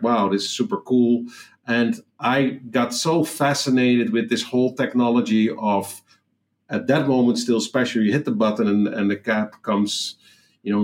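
Dutch guy chatting away on an interview, lots of restarts and "super cool", mid pitch. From Netherlands. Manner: rambling, frequent restarts and fillers, enthusiastic. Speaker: guest entrepreneur. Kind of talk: casual storytelling interview.

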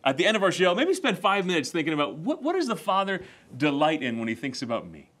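Lively, emphatic male talk-show host. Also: fairly high pitch. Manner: lively, emphatic preaching tone with quick laughs. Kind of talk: conversational faith talk show.

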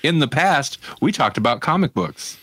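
A man's voice: medium pitch, sing-song, normal speed, fairly bright tone, breathy edge, no echo. A man hosting a podcast, chatting loosely with friends and laughing often. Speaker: podcast host. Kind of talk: casual chat.

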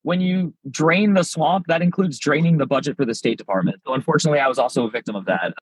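A man with a slightly husky voice speaks as a podcast guest. He talks candidly and at length, filling his sentences with "like." The recording is dry and close, with no room sound. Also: a little high, quick pace, no room sound, rough recording. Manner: candid, rambling, frequent "like" fillers. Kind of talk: casual podcast interview.

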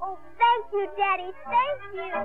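Eager young girl, very high voice, vintage radio drama, thin muffled broadcast sound. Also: measured pace, loud music behind the voice. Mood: grateful. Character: eager, stubborn little Australian girl who loves swimming. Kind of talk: 1950s radio drama acting.

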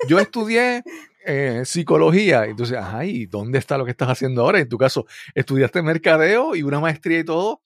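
A man's voice: fairly high pitch, big pitch swings, brisk, no room sound. Man hosting an interview, close-sounding. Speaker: interviewer, host of a show. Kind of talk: conversational interview.

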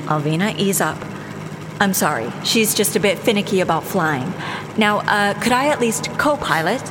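A cocky American woman pilot, played by an actress in an audio drama, breezy and sarcastic at a medium pitch. Her voice rings in a long reverb with engine noise behind it. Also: animated, normal speed, close to an everyday voice. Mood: placating.

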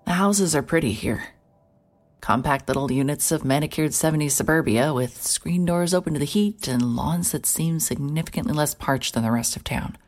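Very low-voiced, world-weary female private eye. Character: hard-hearted female private investigator, wry and world-weary. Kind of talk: noir first-person narration in a cinematic audio drama.

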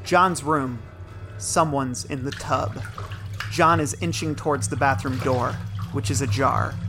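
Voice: mid-range voice, steady tone, measured pace, music in the background. A narrator reading the stage directions of a suspense teleplay as scripted narration.

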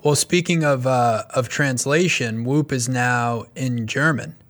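A man's voice: mid-range voice, measured pace, a bit bright. A man hosting an interview who asks questions in an easygoing way, with small restarts. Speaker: podcast host.

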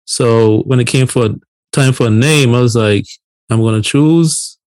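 A man's voice: medium pitch, steady tone, moderate pace, darkish tone, dry recording. Late-thirties man from Barbados, dry close sound. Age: late 30s. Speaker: podcast co-host. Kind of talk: casual podcast chat.

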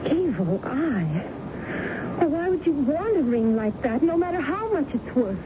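A woman's voice: high voice, very animated, unhurried. An actress playing a sweet, earnest young woman, a daughter on holiday, in a 1970s American radio drama. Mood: concerned. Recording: dull, noisy 1970s radio broadcast.

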